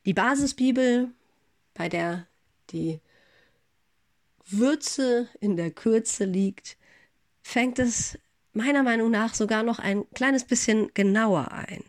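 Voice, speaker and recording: Woman pastor, middle-aged, calm and reflective devotional read, a touch congested, dry close sound. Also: fairly high pitch, takes it slowly, long pauses, dry recording. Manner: calm, gentle, reflective address to listeners. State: recovering from an infection, slightly congested. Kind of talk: spoken devotional.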